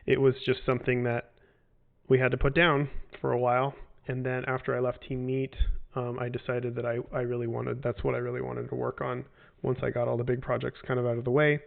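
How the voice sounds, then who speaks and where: Rambling man with a gravelly, tinny sound. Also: mid-range voice, monotone, brisk. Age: 40s. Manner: rambling, hesitant with many fillers and restarts. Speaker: game developer answering fan questions. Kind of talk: casual livestream Q&A. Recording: tinny phone-like audio.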